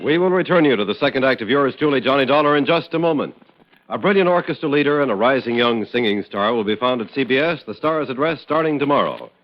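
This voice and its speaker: A male announcer delivers a smooth, formal old-time radio announcement. His mid-range voice comes through the dull, muffled sound of an old broadcast. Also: mid-range voice, lively intonation, fast talker, soft background music.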